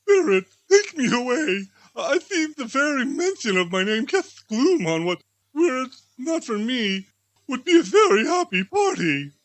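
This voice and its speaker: A man's voice: extremely high pitch, sing-song, measured pace, dry recording, voice pushed up, heavily acted, rough recording. A man playing a distressed miser in a theatrical storybook reading, pleading dramatically. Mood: remorseful, pleading.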